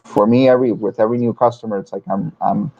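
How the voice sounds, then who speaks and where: A man from Venezuela in his thirties, a financial advisor talking haltingly with many restarts in a live webinar interview. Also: mid-range voice, steady tone, mellow, slight background noise. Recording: dull lo-fi webinar audio.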